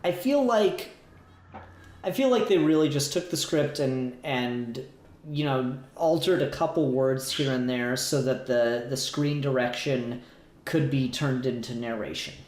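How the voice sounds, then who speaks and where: A man's voice: medium pitch, a little slow, darkish tone. A man hosting a casual, critical discussion, informal and hesitant, restarting his thoughts as he goes. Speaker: podcast host. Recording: roomy, echoey home recording.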